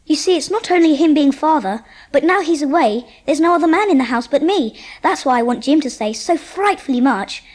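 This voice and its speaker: A woman acts out a bold, earnest Edwardian schoolboy in an audiobook drama. The voice is high and boyish. The boy sounds eager and sure of himself. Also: animated, fairly quick, hardly any pauses, a little mellow. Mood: wistful.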